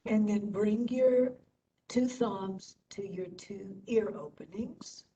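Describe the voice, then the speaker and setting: A woman instructor leading a guided meditation in short phrases with long pauses. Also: mellow. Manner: slow, pausing between short phrases. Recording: distant mic, dull, faint rumbling noise.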